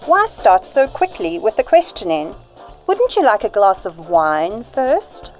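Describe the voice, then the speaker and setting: A woman's voice: mid-range voice, huge pitch swings, unhurried, a little mellow, faint music behind. A woman acting a poised, flirtatious informant in an amateur radio drama, polite and coy.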